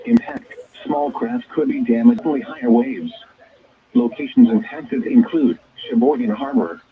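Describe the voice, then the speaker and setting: A synthetic American male announcer voice comes through a dull, lo-fi weather radio. He reads scripted bulletins formally and impersonally in segmented phrases, with a pitch that swings noticeably up and down. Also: creaky. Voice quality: synthetic text-to-speech voice. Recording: dull, band-limited weather radio broadcast.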